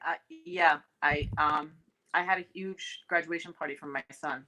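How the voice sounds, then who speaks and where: A woman's voice: deep voice, fairly even intonation, moderate pace, bright, forceful tone, a little hiss or hum, low-quality recording. A middle-aged woman giving brief, hesitant, casual replies as the client in a reading.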